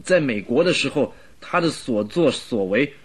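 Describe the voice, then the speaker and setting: An actor playing a timid middle-aged butler in a radio drama, hesitant and stammering with an apologetic manner. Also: male voice, medium pitch, expressive, normal speed, slightly rough. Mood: bitter, agitated.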